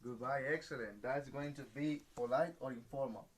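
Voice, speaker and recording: A man teaching an English class in a patient, slow classroom manner, checking in with "right?" after each point. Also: mid-range voice.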